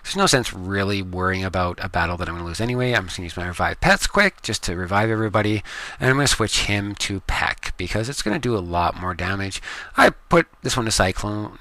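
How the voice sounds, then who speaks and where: A Canadian man giving relaxed, rambling gameplay commentary from his home room, with plenty of ums. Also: lowish voice, no echo. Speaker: gaming video creator.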